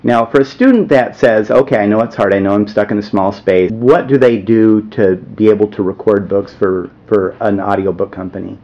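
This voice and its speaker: A man's voice: lowish voice, normal speed, hardly any pauses, a little mellow. An American man asking questions as an interviewer in a casual, conversational style. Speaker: interviewer, teacher. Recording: slightly muffled, dull sound.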